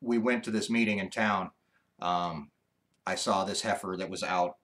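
A man in his forties co-hosting a casual ranch livestream from a living room couch, talking in an easygoing, halting way with lots of fillers. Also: sits low, steady tone, fairly bright tone. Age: 40s. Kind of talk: casual live chat.

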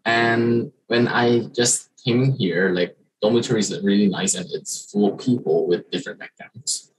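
Young man from Thailand, Thai native speaker, student presenter on a video call; low, slightly husky voice, halting delivery. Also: poor audio. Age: young adult. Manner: halting, many fillers and restarts. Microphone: video call webcam.

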